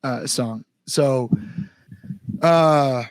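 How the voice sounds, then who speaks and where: A man's voice: medium pitch, drawn-out words, no room sound, poor audio. Man, mid-range voice, casual podcast guest, rambling storyteller, dry sound. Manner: rambling storyteller, frequent likes, acts out inner thoughts. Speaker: guest musician, band front-man.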